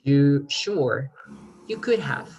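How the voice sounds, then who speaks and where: An eager crime writer read by a woman in a readers theater play, with a low voice. The delivery is earnest and persuasive, a little halting, as if laying out a careful scheme to rescue a friend. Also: animated, a little mellow, muffled recording, a little hiss or hum, full character voice. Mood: confident, reasoning. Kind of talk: amateur readers theater performance.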